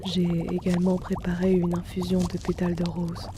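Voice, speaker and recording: A woman voicing a timid, gentle male herbalist in an ASMR roleplay, speaking softly and soothingly. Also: on the low side, completely flat delivery, noisy background, natural voice. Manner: soft-spoken, slow and soothing. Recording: rain and storm ambience, pouring liquid.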